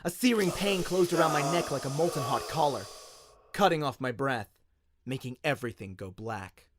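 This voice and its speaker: A man with an Australian accent giving an expressive, dramatic audiobook narration in radio-play style. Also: medium pitch, moderate pace. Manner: expressive storytelling.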